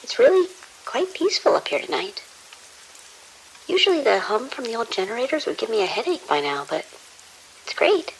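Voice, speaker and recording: A woman's voice: on the high side, big pitch swings, moderate pace, long pauses, low-quality recording. A woman playing a conscientious, polite research doctor on night duty in an audio drama, speaking precisely. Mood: calm, polite. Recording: faint sizzling hiss.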